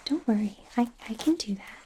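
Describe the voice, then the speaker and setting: A woman playing an anxious, awkward young female werewolf medical student in a soft, breathy, whispered ASMR roleplay, speaking hesitantly with stammers. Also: on the high side, animated, a little fast, slight background noise, close to an everyday voice. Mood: gentle, hesitant. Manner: hesitant, stammering, flustered and gentle.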